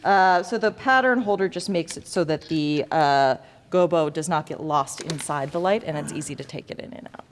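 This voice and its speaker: Female instructor, slightly low voice, informal demo with joking asides, reverberant hall with background noise. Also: moderate pace. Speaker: lighting design teacher. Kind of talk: informal hands-on demonstration to students.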